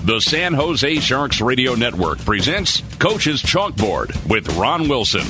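A male announcer reading promos very slowly, his pitch swooping widely with every phrase. Music plays clearly underneath. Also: big pitch swings. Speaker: station announcer.

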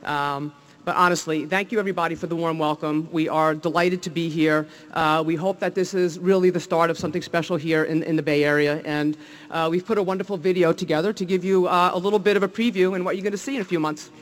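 A man's voice: a little high, fairly even intonation, quick pace. Upbeat New Jersey man giving a speech. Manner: enthusiastic, many fillers and restarts. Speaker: league executive. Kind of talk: public speech at a press event.

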